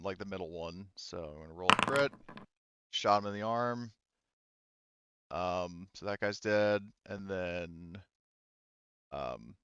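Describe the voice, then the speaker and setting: A man narrating a tabletop roleplaying game as game master, in a casual, profane way, halting as he thinks aloud. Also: low voice, fairly bright tone, clean tone, no room sound, lo-fi sound.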